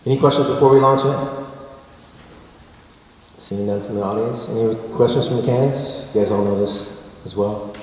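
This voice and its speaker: A man hosting a community forum, talking in a low voice with many fillers and restarts, using a handheld mic in a large wood-paneled hall. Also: normal speed, long pauses, darkish tone. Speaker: forum host. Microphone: handheld mic, heard from a far camera. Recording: echoey hall from far off.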